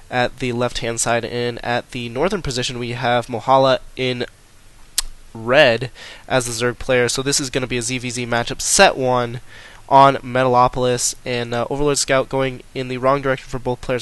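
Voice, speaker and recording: A man's voice: medium pitch, clean tone. A young man with a stuffy, congested nose, casually commentating a live video game match and often correcting himself. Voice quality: nasal, congested. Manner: casual, self-correcting, rising excitement in big moments. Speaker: esports caster.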